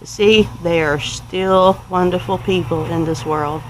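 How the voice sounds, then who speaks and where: A woman's voice: fairly low pitch, fairly even intonation, takes it slowly, slight background noise, low-quality recording. A woman with a Southern US accent tells a personal story to viewers in a casual, folksy, rambling way, using country turns of phrase. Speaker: homestead vlogger telling a personal story.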